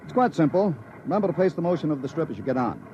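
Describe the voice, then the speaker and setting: Fast-talking brisk chief engineer. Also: male voice, on the high side, big pitch swings, mellow, slight background noise. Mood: confident. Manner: brisk, businesslike, authoritative. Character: brisk, confident veteran chief engineer of moving roadways. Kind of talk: old-time radio drama acting. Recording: dull vintage radio recording.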